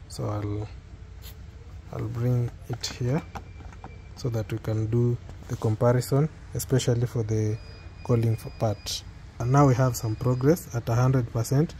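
A man with a Kenyan accent giving an unscripted step-by-step tech tutorial, speaking hesitantly with pauses and repeated phrases. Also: medium pitch, dark tone, slight background noise. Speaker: product tutorial presenter.

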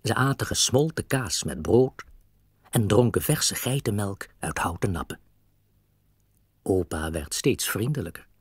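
A man's voice: fairly low pitch, animated, a little slow, husky, dry recording, studio quality. A man narrating a scripted children's story as its storyteller.

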